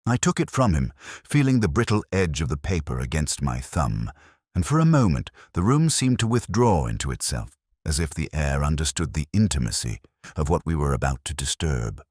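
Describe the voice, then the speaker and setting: Very deep-voiced man narrating a mystery. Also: sing-song, darkish tone, slightly rough, dry recording, clean studio sound. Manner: measured, formal, reflective. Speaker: narrator of a detective story. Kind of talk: scripted literary mystery narration in first person.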